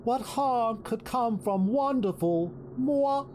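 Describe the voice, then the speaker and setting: A woman's voice: on the high side, expressive, takes it slowly, a little mellow, slight background noise. A woman playing a vain, flippant spirit of pleasure in an audio drama, with a smug theatrical drawl. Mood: smug, dismissive.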